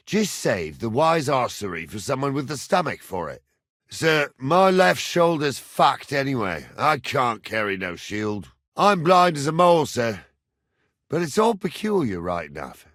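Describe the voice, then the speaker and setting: A gruff old soldier from a medieval video game, with a very lively, swooping pitch. He talks in a rustic, salty way and orders youngsters about with crotchety impatience. The recording is dry, with no room sound. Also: moderate pace, no room sound. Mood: irritated, bossy, then grumbling, wry. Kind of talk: video game character dialogue.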